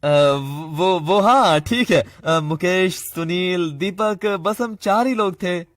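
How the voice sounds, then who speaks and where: A man acts out a weary, evasive cartoon husband. His voice is high for a man and he stammers and hesitates nervously. The recording is dry and close. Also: takes it slowly, dry recording.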